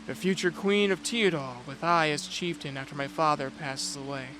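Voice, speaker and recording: A man playing a reserved, dutiful young prince, narrating an audio drama in a measured, brooding way. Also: on the high side, close to an everyday voice, poor audio. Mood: brooding, reflective. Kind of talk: first-person audio drama narration with dialogue. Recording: music and rain behind the voice. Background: music and rain.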